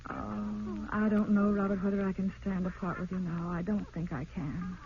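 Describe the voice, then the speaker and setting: A woman's voice: medium pitch, level tone, moderate pace, darkish tone, close to an everyday voice. An actress playing a tender, emotional young wife and mother in an old radio drama, speaking with earnest melodrama. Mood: anxious, tearful. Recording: muffled, noisy old radio recording.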